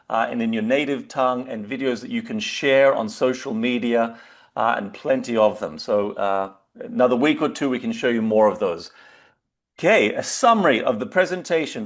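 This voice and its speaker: A man with an Australian accent hosting a webinar, talking loosely and casually with frequent fillers. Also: mid-range voice. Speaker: webinar host. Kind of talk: casual presentation.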